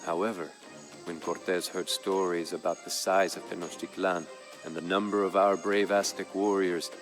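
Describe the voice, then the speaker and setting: A man playing a proud, grave Aztec jaguar warrior who solemnly recounts his people's fall as a strategy game's campaign narrator, in a measured, dramatic way. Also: deep voice, little pitch movement, soft background music, natural voice. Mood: solemn storytelling. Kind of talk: solemn scripted storytelling narration for a strategy game.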